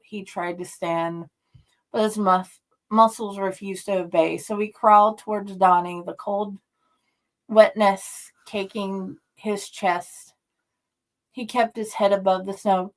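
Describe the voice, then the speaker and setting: A woman reading a horror story aloud in a dramatic, deliberate, drawn-out way. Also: medium pitch. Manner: slow, deliberate, drawn-out delivery. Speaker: story reader and host.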